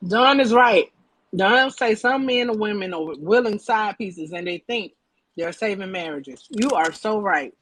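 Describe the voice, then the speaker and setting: A woman's voice: mid-range voice, animated, unhurried, fairly bright tone, husky, dry recording, rough recording. A woman chatting casually and candidly about her own relationship, repeating phrases for emphasis. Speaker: talk show panelist. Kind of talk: casual group chat, personal storytelling.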